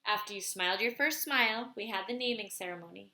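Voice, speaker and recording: A woman voicing a patient, wise old grandfather in a storybook, measured and reassuring. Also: mid-range voice, pushed and bright. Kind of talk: storybook read aloud.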